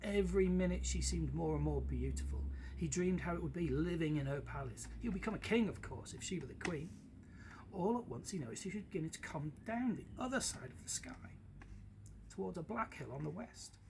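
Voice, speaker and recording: A man reading a children's story aloud in an expressive storytelling style. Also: fairly high pitch, a little fast. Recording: clearly noisy background.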